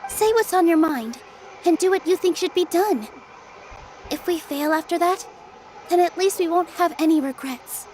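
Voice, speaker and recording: Young female dancer, high voice, gentle, earnest, modest, video game dialogue, slight background noise. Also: moderate pace. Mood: determined. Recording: faintly noisy game capture.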